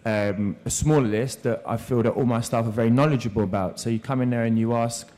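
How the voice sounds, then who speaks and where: A man's voice: medium pitch, fairly even intonation, fairly quick, mellow. A man who runs restaurants, speaking earnestly on a seminar panel about his staff and wine sales, with a few restarts. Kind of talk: panel discussion. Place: seminar room.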